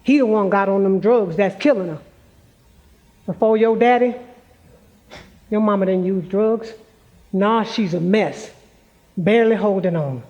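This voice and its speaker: Male actor as protective old granny, high voice, folksy, weary, stage play. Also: a little slow, long pauses, a little mellow, dull audio. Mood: weary, caring. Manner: folksy, scolding, plain-spoken. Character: protective, plain-spoken grandmother, weary and caring.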